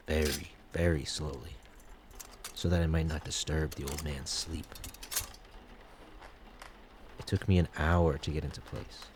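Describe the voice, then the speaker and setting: A deep male voice of an actor plays an unhinged, obsessive killer narrating his confession. The delivery is intense and theatrical, sinking to hushed secrecy and rising to loud insistence. It is a gothic audio drama with some noise behind it. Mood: hushed, stealthy.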